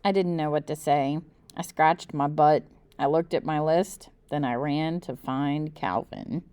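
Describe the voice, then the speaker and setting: A woman's voice: sits low, unhurried, clear, resonant tone, dry recording. A woman reading a children's book aloud as storyteller, expressively narrating in the first person and acting out the dialogue. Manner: animated, acts out the dialogue.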